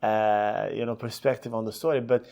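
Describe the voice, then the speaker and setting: A man's voice: on the low side, steady tone, normal speed, gravelly, darkish tone. A middle-aged man, telling his career story conversationally as an interview guest. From Lebanon. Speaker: podcast guest, hotel executive.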